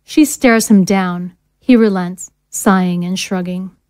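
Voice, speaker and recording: A woman's voice: on the low side, fairly even intonation, takes it slowly, darkish tone, smooth, no echo. Woman narrator, dry close sound. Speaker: narrator reading scene directions. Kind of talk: scripted narration.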